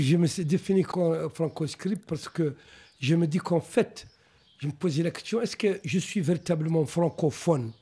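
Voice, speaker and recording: A Mauritanian novelist, whose first language is Arabic, answers interview questions in a thoughtful way, often pausing and restarting his sentences. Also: on the high side, dark tone, slightly rough. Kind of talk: reflective radio interview answers.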